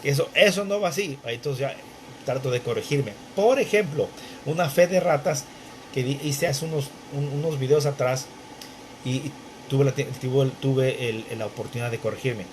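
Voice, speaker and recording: A man teaching the Bible from his bedroom at home, talking haltingly with many fillers and restarts while wearing headphones. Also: mid-range voice, darkish tone, slight background noise. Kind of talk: conversational Bible study intro.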